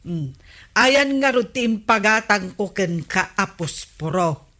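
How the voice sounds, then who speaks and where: A woman's voice: medium pitch, animated, slow pace, bright, forceful tone. A woman with an Ilocano accent playing a nagging, scolding village woman in a comedy radio drama.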